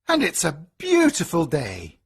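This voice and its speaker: An anxious old father pleads and frets in a storybook reading, as a reader voices him. His voice sits a little higher than the narration, swings up and down widely, and comes out soft and breathy at an unhurried pace. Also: huge pitch swings, full character voice. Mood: wondering. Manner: pleading, anxious, with rising, lifted pitch. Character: worried old father of grown children.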